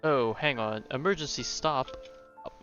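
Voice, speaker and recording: A man's voice: medium pitch, normal speed, fairly bright tone, muffled recording, faint music behind. A man streaming a game, chatting casually and sounding flustered. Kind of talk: casual live commentary.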